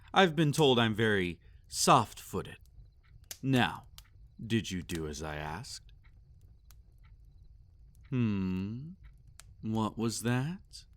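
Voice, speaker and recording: A man playing a sadistic, possessive vampire master in a roleplay audio, speaking formally and condescendingly with silky menace and drawn-out commands. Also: lowish voice, heavily acted. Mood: smug, commanding. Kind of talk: roleplay audio acting, intimate and theatrical.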